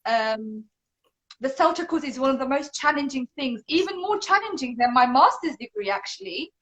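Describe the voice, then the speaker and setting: Bright-voiced young woman from London. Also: sits high, lively intonation, normal speed. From London, England. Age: young adult. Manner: upbeat, fluent and articulate. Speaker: guest English teacher. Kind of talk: online interview answers. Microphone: video call.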